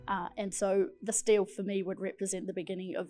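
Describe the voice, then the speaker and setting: A woman with a New Zealand accent, giving a measured, detailed business pitch to investors. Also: flat delivery, dark tone, soft background music. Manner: measured, detailed, figure-heavy delivery. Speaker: pitching entrepreneur.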